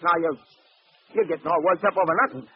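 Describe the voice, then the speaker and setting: A man's voice: high voice, quick pace, gravelly. An actor playing a nervous, dim gangster henchman who stammers in slang, in an old radio drama. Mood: uneasy. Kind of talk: 1940s radio acting. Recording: muffled old radio recording, faint noise.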